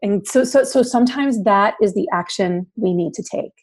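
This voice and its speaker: A woman with a raspy voice hosting a podcast interview, enthusiastic with her guest. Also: medium pitch, normal speed. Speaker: podcast host. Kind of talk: interview conversation.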